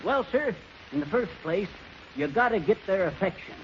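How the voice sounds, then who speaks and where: A blustering, folksy husband from 1930s radio comedy, stammering through his bad grammar. His voice sits high and bounces up and down, under the clear noise of a muffled vintage broadcast. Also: lively intonation, moderate pace. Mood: blustering, unsure. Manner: ungrammatical folksy talk, stammering bluster, chuckling. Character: folksy, cocky, bumbling married man seeking work.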